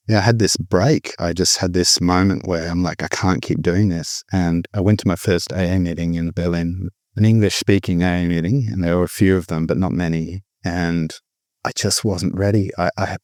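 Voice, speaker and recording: A man's voice: low voice, a little mellow, no room sound, clean studio sound. A middle-aged man, candidly telling his personal recovery story in a reflective way. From born in the Philippines, raised abroad. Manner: reflective, candid, with frequent restarts. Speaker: guest sharing recovery story.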